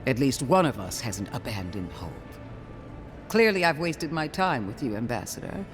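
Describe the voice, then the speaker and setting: An actor playing a severe, zealous imperial inquisitor in a fantasy game, with an imperious, cutting, theatrical delivery. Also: male voice, mid-range voice, sing-song, normal speed, faint music behind, natural voice. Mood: defiant. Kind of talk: dramatic fantasy game dialogue.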